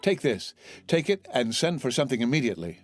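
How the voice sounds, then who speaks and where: A man's voice: mid-range voice, very animated, brisk, no room sound, faint music behind. A man voicing an earnest, devoted young sailor in a storybook, speaking with ardent courtesy. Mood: eager, caring.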